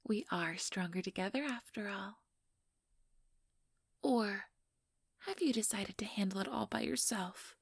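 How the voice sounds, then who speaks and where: A woman voicing an ambitious, teasing vampire in an ASMR roleplay, breathy and whispery, with a sugary, mocking condescension. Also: mid-range voice, unhurried, long pauses, dry recording, clean studio sound. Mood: teasing, taunting. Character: ambitious, teasing female vampire, smugly scheming.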